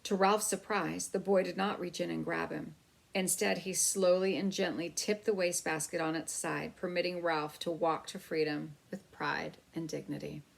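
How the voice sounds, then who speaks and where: Low-pitched, creaky woman storyteller. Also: steady tone, normal speed, rough recording. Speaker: storyteller reading aloud. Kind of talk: expressive children's book narration.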